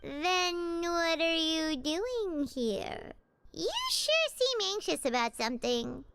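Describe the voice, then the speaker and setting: Squeaky, very high little fairy sidekick. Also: female voice, expressive, slow pace, vocal fry, exaggerated voice. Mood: curious, blunt. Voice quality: squeaky, childlike. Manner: refers to self by name, exclamatory. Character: small childlike fairy companion, cheeky, talks in third person. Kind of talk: video game voice acting. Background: game music.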